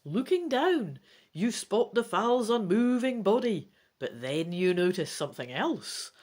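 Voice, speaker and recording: A gamebook reader and host giving dramatic, deliberate storybook narration with casual asides. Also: medium pitch, big pitch swings, darkish tone. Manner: theatrical, deliberate phrasing.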